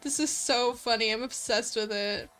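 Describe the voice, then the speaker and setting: A woman with a high, bright voice chats casually to her livestream viewers. Her delivery is giggly, gushing and full of slang, with music playing softly behind her. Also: moderate pace. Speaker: livestreamer, host. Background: light background music.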